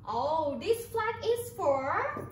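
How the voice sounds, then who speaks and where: A woman teaches a children's lesson in a Thai accent, speaking slowly with a very high, sing-song voice. Her delivery is exaggerated and built on simple repeated phrases. She sounds distant from the mic in an echoing room. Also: big pitch swings. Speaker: kindergarten English teacher. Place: small indoor room beside a presentation screen. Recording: roomy, distant mic, background noise.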